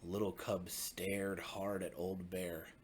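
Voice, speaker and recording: A man, a pastor, narrating a bedtime story for children in a gentle, expressive way with deliberate phrasing. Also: sits low, a little hiss or hum.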